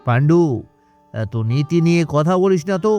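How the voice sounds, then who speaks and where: Man playing a cocky, lively village schemer. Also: a little high, normal speed, smooth, faint music behind, natural voice. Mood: bossy persuasion. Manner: boastful, wheedling, dismissive. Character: cocky, scheming village trickster man chasing quick money. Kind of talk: animated cartoon dialogue.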